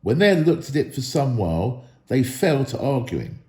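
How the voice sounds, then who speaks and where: A man reading a storybook aloud as an expressive narrator. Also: mid-range voice, normal speed, reverberant. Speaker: storybook reader.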